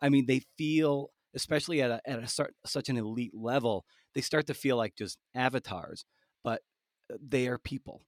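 A man interviewing casually as a podcast host, thinking aloud in a halting, conversational way. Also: medium pitch, no room sound, studio quality.

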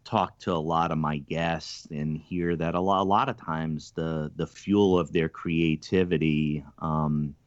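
A man hosting a podcast interview, talking in a halting way with many ums and restarts. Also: very deep voice, fairly even intonation, no echo, muffled recording. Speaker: podcast host.

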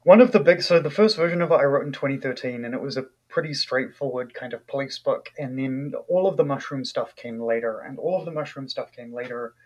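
A man's voice: medium pitch, fairly quick, hardly any pauses, muffled recording. A man from New Zealand with a New Zealand accent, an author talking casually in an interview, thoughtful and full of fillers and restarts.

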